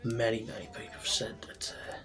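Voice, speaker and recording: A man playing a friendly, easygoing tavern barkeep in a soft-spoken, chatty fantasy ASMR roleplay. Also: fairly quick, lightly acted. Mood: chatty, reassuring. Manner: informal, chatty, reassuring. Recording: soft background music and tavern ambience. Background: music, tavern ambience.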